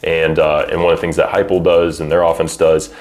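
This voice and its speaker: A man's voice: low-pitched, little pitch movement, a little fast, a little mellow. A man giving conversational recruiting advice as a coach, in an informal way peppered with filler phrases like "you know". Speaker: college football recruiting coach and adviser. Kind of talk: conversational advice, explaining to parents and athletes.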